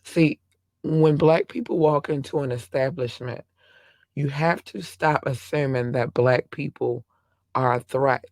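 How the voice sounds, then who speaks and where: Hoarse male podcast host, gravelly and dry, passionate and rambling. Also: medium pitch, fairly even intonation, measured pace, darkish tone, dry recording. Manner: impassioned, rambling with restarts. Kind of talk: casual talk-show commentary.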